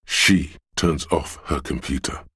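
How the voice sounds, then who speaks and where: Very deep, gravelly game narrator. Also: female voice, slow, fairly bright tone, no echo, studio quality, exaggerated voice. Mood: solemn. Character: slow, low game narrator.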